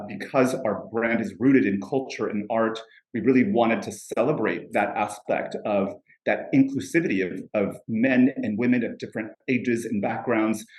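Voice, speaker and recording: Low-voiced man on a roomy panel. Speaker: fragrance brand executive. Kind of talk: panel discussion answer.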